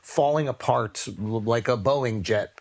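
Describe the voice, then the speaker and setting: A man's voice: medium pitch, a little slow. A man with an American accent hosting a casual podcast conversation, talking in a rambling, opinionated way with plenty of swearing. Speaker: podcast host.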